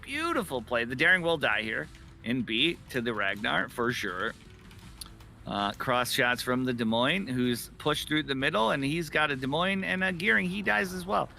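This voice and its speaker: A man's voice: mid-range voice, lively intonation, moderate pace, gravelly, sharp tone, a little hiss or hum. A man co-commentating live esports, emphatic and given to repeating phrases for effect.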